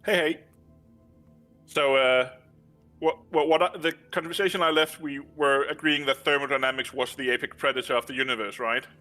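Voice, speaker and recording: A man's voice: medium pitch, normal speed, faint music behind. Danish man with dry wit. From Denmark. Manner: dry, deadpan quips. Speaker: co-caster. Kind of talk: casual chat on a live stream.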